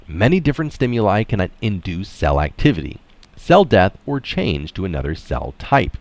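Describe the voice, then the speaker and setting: A man with a very deep voice reads written material aloud in a conversational way. His intonation moves around a lot, keeping the narration animated. The sound is dry, with hardly any room on it. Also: normal speed, dry recording. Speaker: reader and commentator. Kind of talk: read-aloud article with informal asides.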